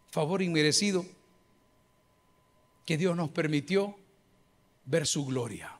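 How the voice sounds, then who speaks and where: Lively Salvadoran pastor preaching. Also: male voice, sits high. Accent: Salvadoran Spanish. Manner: lively preaching, bursts of mimicry and humor. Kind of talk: sermon. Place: large church auditorium with a crowd. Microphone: handheld microphone.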